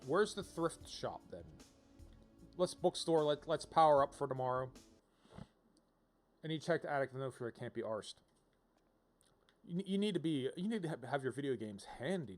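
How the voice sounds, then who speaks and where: A man casually commentating on a video game as he streams, rambling and thinking aloud as he reads out options. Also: a little high.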